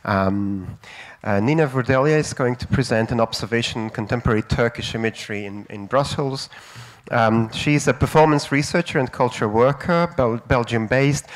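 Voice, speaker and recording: Guy moderating a conference, ums and uhs, normal pitch. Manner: halting, many fillers. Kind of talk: introducing speakers at a conference.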